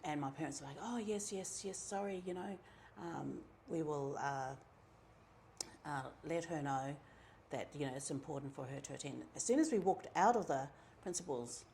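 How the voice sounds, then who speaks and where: A middle-aged woman with a New Zealand accent tells her story in a low, gravelly voice. She talks in a relaxed, chatty way, full of ums and quoted asides. A faint hiss of noise sits under her voice. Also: moderate pace. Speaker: community member telling her story. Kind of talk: casual personal storytelling.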